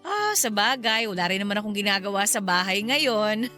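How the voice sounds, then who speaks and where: Bright, chatty Filipina neighbor. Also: female voice, mid-range voice, normal speed, soft background music. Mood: teasing, chatty. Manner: animated, gossipy, teasing. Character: chatty teasing middle-aged Filipina neighbor, widowed home cook. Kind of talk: radio drama dialogue.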